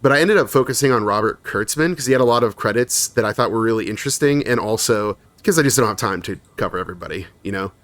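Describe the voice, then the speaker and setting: A man's voice: on the low side, expressive, quick pace, hardly any pauses, fairly bright tone, no room sound. A man co-hosting a podcast in casual chat, reading long lists of credits with frequent laughs and fillers. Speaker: podcast co-host.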